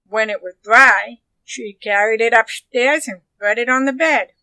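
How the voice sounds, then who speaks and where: A woman narrating a children's story expressively, with deliberate, dramatic phrasing. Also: on the high side, pushed and bright, dry recording. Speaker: storybook reader.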